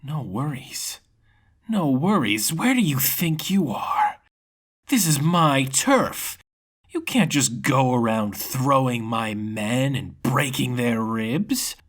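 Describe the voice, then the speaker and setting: A man playing a menacing male angel gang boss in a fantasy story, with deliberate, mock-polite threats. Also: medium pitch, expressive, fairly bright tone, voice pushed up.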